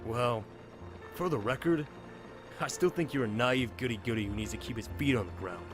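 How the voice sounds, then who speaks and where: A man playing a cynical, prickly young man in an audio drama, gruff and sardonic. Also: medium pitch, normal speed, natural voice. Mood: sardonic, guarded. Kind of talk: fan audio drama acting. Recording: faint music behind the voice.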